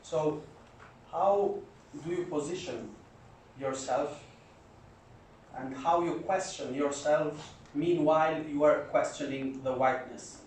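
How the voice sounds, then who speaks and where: A man from Albania asking a question from the audience at a conference, speaking slowly and searching for words. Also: fairly high pitch, fairly even intonation, a little hiss or hum. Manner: slow and searching. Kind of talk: slow, searching question from the floor. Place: conference hall. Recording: echoey hall, distant mic.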